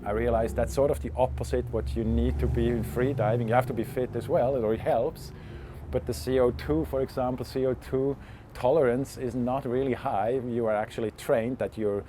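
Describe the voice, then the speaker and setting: A man's voice: fairly low pitch, mellow, slight background noise. A man, talking casually as a guest in an interview about himself. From Switzerland. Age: 40s. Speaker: interview guest, freediving instructor.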